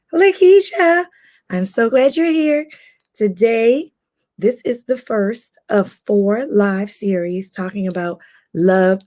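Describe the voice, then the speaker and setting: A hoarse woman, a life coach, hosting a casual livestream and greeting viewers by name. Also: fairly high pitch, a little mellow.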